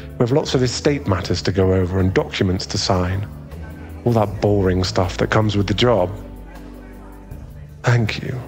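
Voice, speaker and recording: A man with a British accent playing a tender, protective aristocratic werewolf fiancé in a soothing ASMR boyfriend roleplay, his voice husky and genteel. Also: fairly low pitch, close to an everyday voice. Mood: polite, genial.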